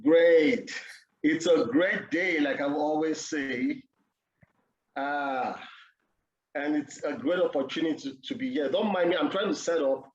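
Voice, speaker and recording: A man's voice: on the high side, a little slow, lo-fi sound, a little hiss or hum. A man from Nigeria, giving an earnest conference talk over a video call and telling his own story. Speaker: conference speaker. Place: office.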